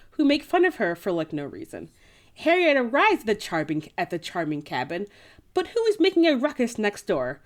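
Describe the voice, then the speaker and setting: Lively, animated woman podcast co-host. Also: on the high side. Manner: informal, playful, slangy. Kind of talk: casual chat and lively plot recap of a book.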